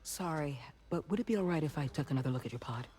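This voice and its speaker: A performer playing a calm, reassuring doctor in a video game, speaking in a measured, gentle, explanatory way. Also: mid-range voice, close to an everyday voice. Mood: curious, explanatory. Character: calm reassuring doctor examining a pregnant woman and a pod. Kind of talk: naturalistic game cutscene acting.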